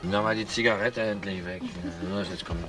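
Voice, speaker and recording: An actor playing a pushy, boozy junior boss making crude, insistent advances in a crime radio drama. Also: male voice, deep voice, steady tone, normal speed, lightly acted. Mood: mocking, impatient. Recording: echoey, with loud music over it.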